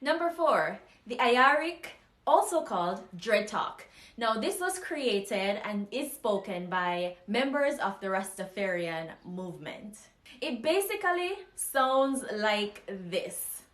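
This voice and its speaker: A woman with a Jamaican accent who teaches language and explains things in a clear, measured way. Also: mid-range voice, sing-song, a bit bright, roomy sound. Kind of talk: explanatory lesson.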